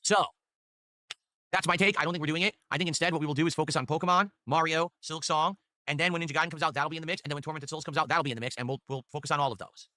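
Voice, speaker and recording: A man's voice: fairly even intonation, racing, bright, forceful tone, no room sound, clean studio sound. A man hosting his own stream, chatting casually and hyping up viewers for support. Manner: upbeat hype pitching for tips. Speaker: streamer host.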